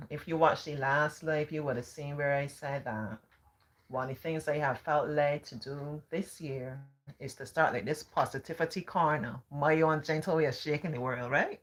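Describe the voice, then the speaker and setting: Barbadian woman, live-stream host; deep, calm, sing-song delivery. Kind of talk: casual live-stream talk.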